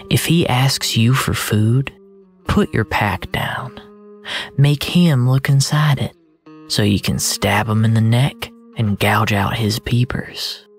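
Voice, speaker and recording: Narrator for literary audio fiction: unhurried, brooding delivery, medium-low androgynous voice, hushed phrase endings, light music underneath. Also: vocal fry. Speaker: storyteller narrator.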